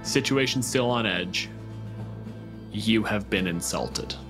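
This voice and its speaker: A man's voice: on the low side, takes it slowly, music in the background. A man casually refereeing a tabletop game as the game master.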